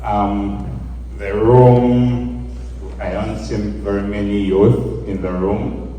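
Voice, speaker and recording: A young man from Uganda speaking as youth representative to a gathering, slow and halting with many pauses. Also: fairly low pitch, fairly even intonation, dark tone. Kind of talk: speech to a gathering. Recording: echoey hall, from far off.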